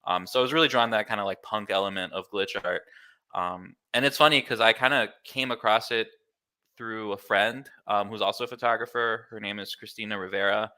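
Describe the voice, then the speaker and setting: An American man in his thirties with a slightly low, bright voice explains things as a guest in a casual interview. His delivery is clear, informal and easygoing. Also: steady tone, a little fast. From Miami, United States. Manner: easygoing, informal, filler-heavy with "like" and "kinda". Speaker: interview guest, glitch artist.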